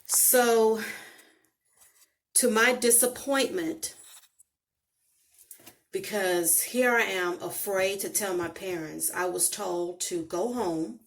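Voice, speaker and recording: A middle-aged woman from the Bahamas with a Bahamian accent, telling her life story to camera slowly and reflectively, recorded on a phone on a holder. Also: medium pitch. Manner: slow, deliberate, reflective delivery with emphatic pauses.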